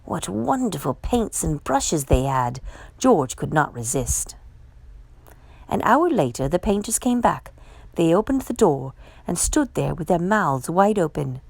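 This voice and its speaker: Woman doing kids storytime, really animated up and down, bit of creak, dry close sound. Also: fairly low pitch, unhurried, no echo. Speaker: storytime host reading a picture book aloud. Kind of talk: expressive children's read-aloud narration.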